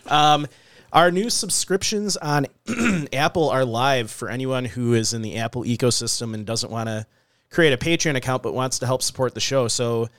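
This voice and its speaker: A man's voice: mid-range voice, moderate pace, dry recording, clean studio sound. A man co-hosting a podcast in casual chat, sounding slightly nasal from allergies. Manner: relaxed, chatty, frequent "uh" and "um". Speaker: podcast co-host.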